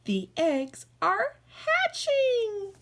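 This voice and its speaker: A woman's voice: very high-pitched, wildly swooping pitch, very slow, a bit bright, pitched above the performer's own voice, heavily acted. A woman voicing a cheerful, helpful little female pig in a children's storybook, in a matter-of-fact singsong. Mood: excited. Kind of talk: children's storybook read-aloud.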